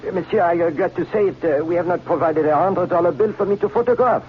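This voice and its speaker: Man playing a high-voiced, nervous French count. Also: fast, close to an everyday voice. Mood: anxious. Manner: hesitant, stammering, polite. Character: nervous, timid European count, a reluctant counterfeiter. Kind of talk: old-time radio drama acting. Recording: muffled, noisy vintage radio with hum.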